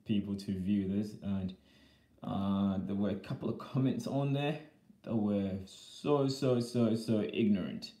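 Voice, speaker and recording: A man live-streaming a casual vlog, slightly hoarse after being sick, talking haltingly with many fillers and repeated words. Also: lowish voice, mellow, roomy sound. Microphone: iPad camera.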